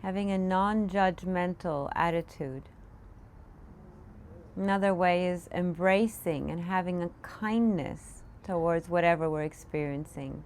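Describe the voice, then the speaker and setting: A woman meditation teacher leading a guided meditation, giving gentle, unscripted instructions with pauses. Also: lowish voice. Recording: clear background noise, room ambience. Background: room ambience, children in the background.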